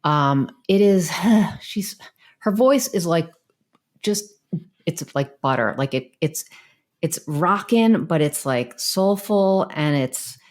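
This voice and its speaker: A woman chatting casually as a podcast co-host, informal and expressive in how she talks and reacts. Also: lowish voice, slow. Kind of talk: casual chat.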